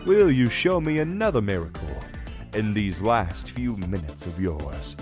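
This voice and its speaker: A man voicing a flamboyant, theatrical police official in a game fandub, drawling in a smoothly mocking, playful way. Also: on the low side, very animated, measured pace, over music. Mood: suave, theatrical.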